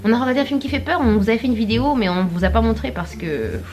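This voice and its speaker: Lively young woman. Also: on the high side. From Réunion. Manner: lively, laughing, quick asides. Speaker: vlogger. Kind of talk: chatty kitchen vlog. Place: home kitchen. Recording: echoey room.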